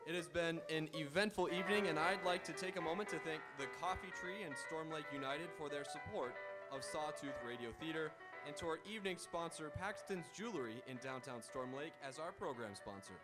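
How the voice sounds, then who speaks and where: A man's voice: fairly high pitch, a little fast, loud music behind the voice, low-quality recording. A man announcing the sign-off of a live radio theatre broadcast from an auditorium stage.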